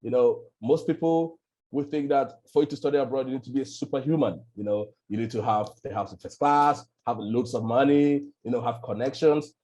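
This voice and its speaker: A man hosts an online panel through a video call mic. His voice is mellow and sits at a middle pitch. He talks in a relaxed way, with frequent "you know"s. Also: a little fast. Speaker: webinar moderator.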